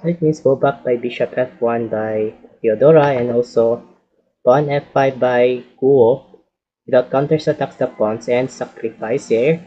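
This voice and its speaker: A man commentating a chess game with a hoarse voice from a cold, speaking halting English full of repeated filler phrases. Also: mid-range voice, fairly even intonation. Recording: dull lo-fi audio.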